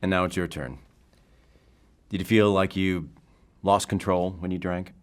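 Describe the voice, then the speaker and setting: Low-voiced, measured male therapist. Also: clear, resonant tone. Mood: patient, dry. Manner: calm, formal, deliberate wording. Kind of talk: audio drama acting.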